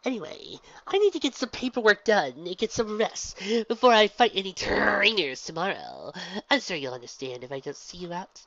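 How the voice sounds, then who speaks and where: A woman's voice: mid-range voice, sing-song, moderate pace, a bit bright, pitched above the performer's own voice. A woman voicing a wry, guarded female pony gym leader who is easily worried. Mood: wary. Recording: dull, dry lo-fi recording.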